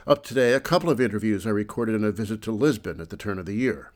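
A man hosting a radio show about economics and politics, introducing guests and asking measured questions. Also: on the low side, lively intonation.

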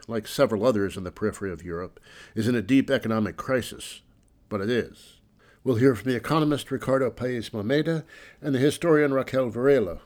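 A man hosting a radio show about economics and politics, introducing guests and asking measured questions. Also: on the low side, darkish tone.

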